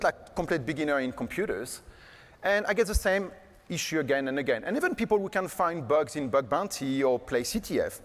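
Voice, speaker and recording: Man giving a joking keynote. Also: on the high side. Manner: casual, joking, many fillers like "like" and "uh". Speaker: keynote speaker. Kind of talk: conference keynote. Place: conference hall stage. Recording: long hall reverb.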